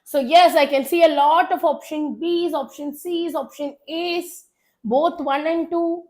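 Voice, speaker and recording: A woman with a South Indian accent teaching a live online exam revision class, hoarse from a cold, urging students on and repeating phrases for emphasis. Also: sits high, fairly even intonation, a little slow, a little mellow. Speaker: chemistry teacher.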